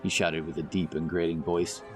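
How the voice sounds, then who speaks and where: A man's voice: low voice, little pitch movement, normal speed. A man narrating a scripted fantasy audiobook as storyteller. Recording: narration over loud background music. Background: music, outdoor sound effects.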